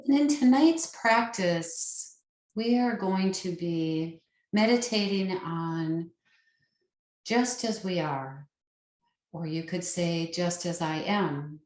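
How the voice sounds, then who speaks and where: Slow-speaking woman guiding meditation, roomy sound. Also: on the low side. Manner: soothing, unhurried, gentle instruction with long pauses. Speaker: meditation guide, yoga nidra teacher. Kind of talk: guided meditation.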